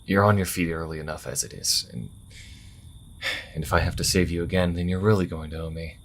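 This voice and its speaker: A man's voice: low voice, brisk, slight background noise. A man playing an earnest, conflicted young priest in a fantasy audio drama, hesitant and prone to stammering. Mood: gentle, concerned. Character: earnest, conflicted twenty-two-year-old male priest.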